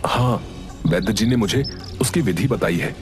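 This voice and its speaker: A man's voice: fairly low pitch, moderate pace, natural voice. A man playing an earnest, determined young village son in a Hindi cartoon story, speaking respectfully. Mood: sincere. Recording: music behind the voice.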